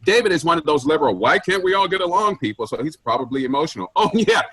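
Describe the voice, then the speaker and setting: Male actor as pushy office boss, animated, rough-edged voice, blunt, self-important, stingy, stage comedy reading. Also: a little high, quick pace, dry recording. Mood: callous, flippant. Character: pushy, penny-pinching male office boss, callous and impatient.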